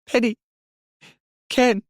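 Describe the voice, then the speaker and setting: Man acting scared small girl, audiobook character, high voice, hesitant, bewildered. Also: a little slow, no echo, studio quality. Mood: sobbing. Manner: hesitant, faltering. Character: frightened, bewildered little girl, whimpering or dully trance-like.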